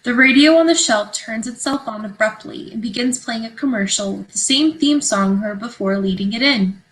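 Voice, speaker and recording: A woman's voice: fairly high pitch, moderate pace, fairly bright tone, a little hiss or hum, low-quality recording. A woman reading out stage directions as scripted narration for a play.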